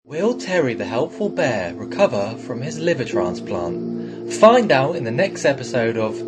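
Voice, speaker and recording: A man voicing an excitable announcer teasing the next episode of a children's cartoon serial. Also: mid-range voice, a little slow. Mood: playful suspense. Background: music.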